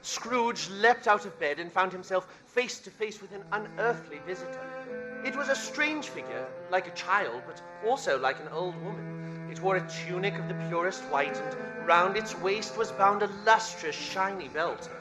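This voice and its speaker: A man's voice: high-pitched, lively intonation, moderate pace, music in the background. A man narrating a scripted radio play in a 1930s style, telling the story dramatically.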